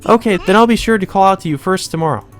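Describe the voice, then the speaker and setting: Guy doing a girlish young witch from a visual novel, playful n knowing, pitched up, music behind. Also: fast talker, natural voice. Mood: playful, hopeful.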